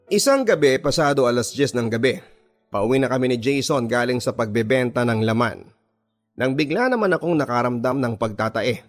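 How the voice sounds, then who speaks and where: A man narrates a confessional radio drama in the first person. His delivery is dramatized storytelling at a medium pitch, with music playing underneath.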